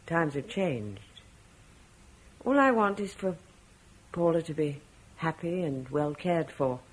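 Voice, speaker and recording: A woman's voice: sits low, animated, takes it slowly, breathy edge, full character voice, low-quality recording. An actress playing a careful, worried middle-aged mother in a classic radio drama. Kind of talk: 1950s radio drama acting.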